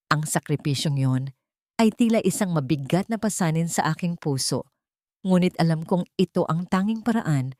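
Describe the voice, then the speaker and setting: A woman's voice: low-pitched, warm tone, no room sound, studio quality. A woman reading a scripted first-person story in a dramatic storytelling style. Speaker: story narrator.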